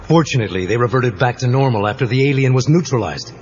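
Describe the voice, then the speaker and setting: A man playing a grim, controlled superhero in an audio drama, speaking in a terse, deliberate way. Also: mid-range voice, darkish tone, lightly acted. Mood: serious, resolute. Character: grim, controlled adult male crime-fighting superhero. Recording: dull cassette sound, reverberant cave effect.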